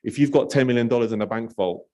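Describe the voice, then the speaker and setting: A man from Poland, a company representative, answering panel questions in a relaxed, talkative way with many fillers. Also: medium pitch, quick pace. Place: conference stage.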